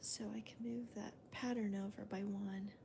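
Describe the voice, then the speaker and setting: A woman hosting a crochet video in a soft, whispery voice, calmly counting stitches aloud as she works. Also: mid-range voice, moderate pace, creaky, a little hiss or hum. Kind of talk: soft-spoken crochet demonstration and chat.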